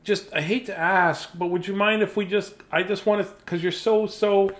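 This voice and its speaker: A man voicing a timid penguin sibling, halting and apologetic as it shyly asks in a storybook. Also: medium pitch, sing-song. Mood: bashful, pleading. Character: timid, hesitant penguin sibling asking for cuddles.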